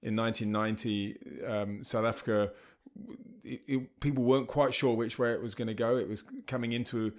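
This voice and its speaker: A middle-aged man with an English accent, a charity founder chatting as a podcast guest, relaxed and full of surf slang and fillers. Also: mid-range voice, a little fast. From England. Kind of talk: casual interview chat.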